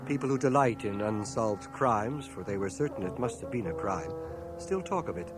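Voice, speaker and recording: An actor playing a reflective, wry older bookish man narrating his life in a radio drama, in a measured literary manner. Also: on the low side, expressive, natural voice. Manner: literary, measured, dryly ironic. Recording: 1980 radio drama home cassette transfer, music behind.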